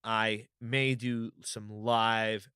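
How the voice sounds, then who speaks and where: A podcast host talks in a chatty, rambling and upbeat way, a little raspy from a cold. His low voice is bright and smooth. It sits close and dry on the mic. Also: flat delivery, extremely slow, dry recording. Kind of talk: casual solo podcast talk.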